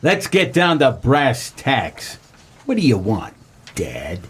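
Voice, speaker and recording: A man playing a wry, deadpan, world-weary private eye in a comedic noir radio play. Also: medium pitch, slow, breathy edge, full character voice. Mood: dry, dismissive. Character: wisecracking world-weary private detective, grown son of a crook.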